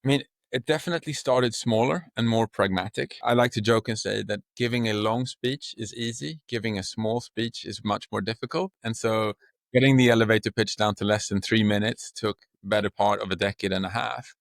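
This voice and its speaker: A man's voice: lowish voice, fairly quick, vocal fry, no echo. A middle-aged man from Sweden with a Swedish accent, a podcast guest speaking expansively and in vivid images. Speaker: guest, company co-founder. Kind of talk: podcast interview.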